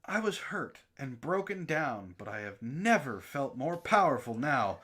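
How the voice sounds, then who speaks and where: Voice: medium pitch, sing-song, unhurried, a bit bright, breathy edge. An outspoken, exasperated host who swears freely, moving between casual banter and mocking dramatic reading. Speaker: book club show host reading aloud.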